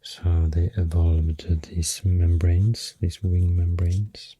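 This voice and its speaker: A woman with a Swedish accent doing soft-spoken ASMR, hushed and halting, with a deep, breathy, often whispery voice close to the mic. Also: flat delivery. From Sweden. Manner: slow, hushed, halting with long pauses. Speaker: ASMR host. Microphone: close microphone. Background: soft pencil and clicking sounds.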